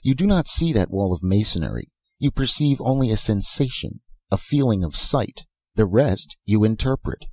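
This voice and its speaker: A man's voice: fairly low pitch, expressive, moderate pace, darkish tone, slightly rough, dry recording, pitched above the performer's own voice. A man voicing an eccentric, philosophizing old professor who talks in short, insistent phrases and rhetorical questions in a storybook reading. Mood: sly, philosophical. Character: elfin bearded old male professor, eccentric, philosophical inventor.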